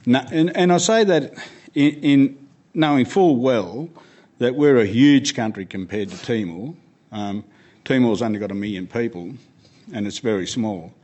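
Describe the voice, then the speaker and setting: A man's voice: medium pitch, a little slow, darkish tone. Earnest man fielding questions. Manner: earnest, emphatic, repeats words when starting. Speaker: guest speaker answering questions. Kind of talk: panel Q&A answers.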